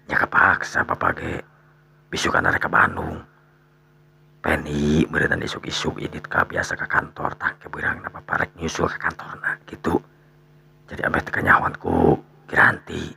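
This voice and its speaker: A man voicing a resigned, secretive older father in a radio drama, muttering and sighing in a gravelly, breathy voice. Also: extremely low pitch, very animated, moderate pace, pushed and bright, slight background noise, heavily acted. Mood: reluctant, secretive. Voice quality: gravelly, breathy, dropping to near whisper. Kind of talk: radio storytelling drama dialogue.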